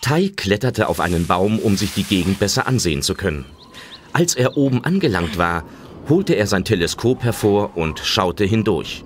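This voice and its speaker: A man's voice: fairly low pitch, very animated, moderate pace, a little hiss or hum. A man narrating a German children's audio drama as a dramatic scripted storyteller.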